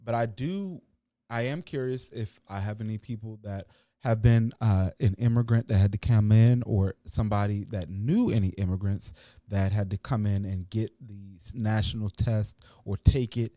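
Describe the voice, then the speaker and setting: A man hosting a panel discussion, rambling and restarting his words, with loudness that swings up and down. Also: lowish voice, moderate pace, dry recording, clean studio sound. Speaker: host.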